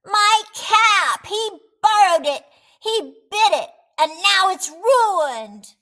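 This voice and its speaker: A boisterous little boy shark from a picture book, acted out by a woman, pitched very high and swinging widely. He is excitable and easily confused, and he stretches his words out slowly. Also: full character voice. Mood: indignant, upset. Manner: exclaiming, dragging out words.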